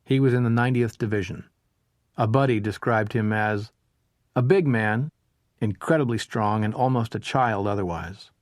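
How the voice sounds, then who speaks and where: A man's voice: on the low side, moderate pace, dry recording. Man with a dry, close narrating voice. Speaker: audiobook narrator. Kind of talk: scripted narration of a history book.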